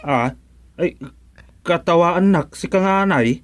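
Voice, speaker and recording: A man playing a hapless, slow-witted son in a comedic radio drama, hesitant, stammering and bewildered. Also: fairly high pitch, very noisy. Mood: confused.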